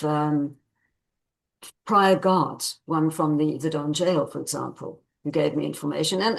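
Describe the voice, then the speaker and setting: A woman author answering interview questions in a conversational, measured and explanatory way, repeating phrases for emphasis. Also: sits low, creaky, darkish tone, rough recording. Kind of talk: conversational interview answers about own book.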